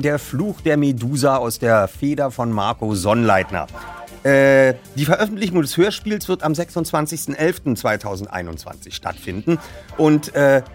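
A man presenting a radio play feature, talking casually and reading out plot points. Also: mid-range voice.

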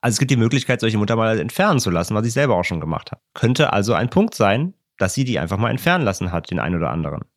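A man co-hosting a podcast, explaining things in a relaxed, rambling way with many repeats. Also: fairly low pitch, racing, few pauses, dry recording, studio quality. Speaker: podcast co-host. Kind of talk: casual podcast chat.

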